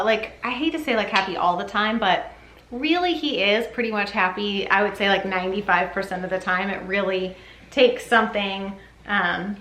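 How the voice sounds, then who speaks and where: A woman's voice: mid-range voice, sharp tone, slight background noise. A woman talking casually and chattily for a parenting vlog, rambling a little in a giggly, informal way. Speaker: parenting vlogger, mother. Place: upstairs bedroom at home. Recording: echoey room.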